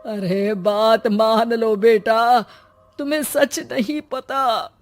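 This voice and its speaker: A dramatic villager from a cartoon horror story, voiced by a woman. The voice sits a little high. Lines come out exclamatory and theatrical. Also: steady tone, slow, faint music behind. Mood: worried. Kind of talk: Hindi cartoon story acting.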